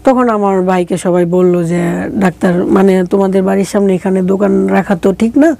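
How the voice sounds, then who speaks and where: A woman's voice: mid-range voice, fairly even intonation, normal speed, vocal fry, warm tone. A woman with a regional Bangladeshi accent telling her life story conversationally, in colloquial words, as an interview guest. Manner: colloquial, acting out remembered dialogue.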